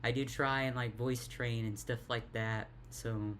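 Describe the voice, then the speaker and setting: A young woman with a deep voice talks in a tired, halting way, stuttering and repeating words in a casual confessional vlog. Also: fairly even intonation, fairly bright tone, slight background noise. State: tired, worn out. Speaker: vlogger.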